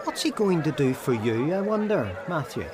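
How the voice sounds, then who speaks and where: An eager, cheeky youngster. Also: male voice, fairly high pitch, moderate pace, background noise. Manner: excitable, giggly, hesitant.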